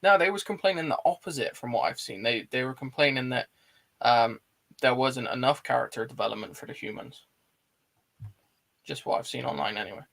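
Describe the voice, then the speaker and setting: A British man hosts a casual online film chat with a gravelly creak in his voice. His pitch sits in the middle, and his way of talking is blunt and informal. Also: brisk, a bit bright. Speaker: host of an online film show. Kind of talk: casual chat, reading out viewer comments.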